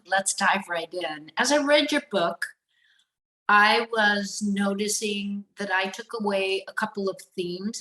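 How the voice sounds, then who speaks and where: Host, woman, measured and friendly, roomy echo around the voice. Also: mid-range voice, sharp tone. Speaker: podcast host. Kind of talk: podcast interview.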